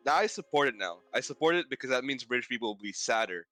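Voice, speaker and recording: A man with a bright, punchy voice of medium pitch chats on a group live stream. He jokes and swears freely in casual banter, with music in the background. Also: lively intonation, quick pace, dry recording. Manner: joking, sweary.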